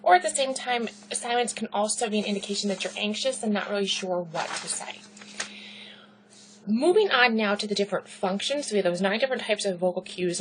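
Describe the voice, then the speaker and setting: A woman instructor giving a recorded lecture, talking loudly in a pitchy, conversational way and checking in with "right?" and "okay". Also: a little hiss or hum, rough recording. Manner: loud, pitchy, conversational with rhetorical questions.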